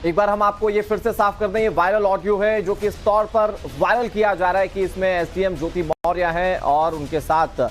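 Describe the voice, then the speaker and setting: A male anchor presents the news formally and briskly in a high voice. Faint music runs in the background. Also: steady tone.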